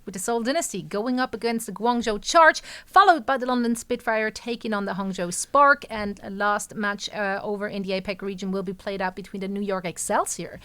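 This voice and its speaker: A woman hosting a live esports desk show, upbeat and brisk, laughing easily with her co-hosts. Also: medium pitch, fairly bright tone, clean tone. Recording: music bed behind.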